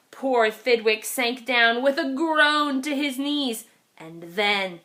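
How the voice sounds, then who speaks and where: A woman reading a children's storybook aloud in an expressive, dramatic way with deliberate phrasing. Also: high voice. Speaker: children's read-aloud reader.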